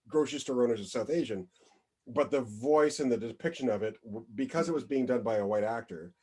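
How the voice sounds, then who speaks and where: A man co-hosting a podcast, talking casually and working through his points with fillers. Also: medium pitch. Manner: conversational, hedging with fillers, expressive. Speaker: podcast co-host. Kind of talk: casual chat.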